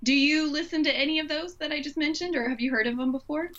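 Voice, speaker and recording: A woman's voice: high-pitched, fast, lo-fi sound, a crowd in the background. A woman co-hosting a podcast in a casual, chatty and enthusiastic conversational style. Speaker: podcast co-host.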